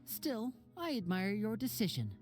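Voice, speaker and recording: Animated, courteous young magician. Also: female voice, mid-range voice, measured pace, soft background music. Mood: polite interest. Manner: polite, polished. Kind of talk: video game voice acting.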